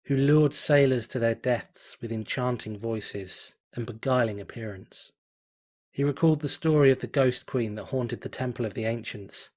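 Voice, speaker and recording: A man reading a fanfiction story aloud in a measured, dramatic storytelling manner. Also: medium pitch, darkish tone. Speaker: fanfiction reader.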